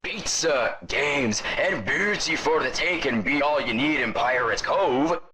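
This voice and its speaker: A man's voice: mid-range voice, expressive, measured pace, noisy background, lightly acted. An actor playing an animatronic pirate fox in an audio drama, a hearty, pushy showman talking in theatrical pirate fashion. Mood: jovial, beckoning.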